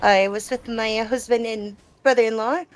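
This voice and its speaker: A woman's voice: on the high side, steady tone, normal speed. A woman gamer answering questions in a casual live Q&A, talking in a reflective, explanatory and conversational way. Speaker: gamer being interviewed. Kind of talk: live Q&A, casual personal talk.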